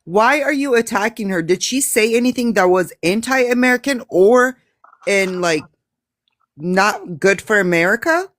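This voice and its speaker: A woman's voice: a little high, expressive, measured pace, a bit bright. A woman confronting others bluntly in a heated live stream debate.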